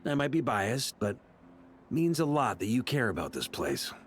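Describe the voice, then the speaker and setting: An actor playing an easygoing, jovial veteran knight commander in a video game, talking in a breezy, casual way. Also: male voice, mid-range voice, very animated, normal speed, slight background noise, natural voice. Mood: reflective. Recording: music under the voice.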